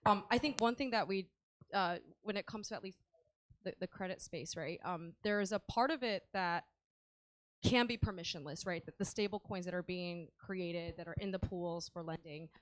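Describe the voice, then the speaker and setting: Woman panelist with a lowish voice. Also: normal speed. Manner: explanatory, frequent "right?" tags and "um" fillers; says she has a loud voice. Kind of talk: conference panel discussion. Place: conference stage.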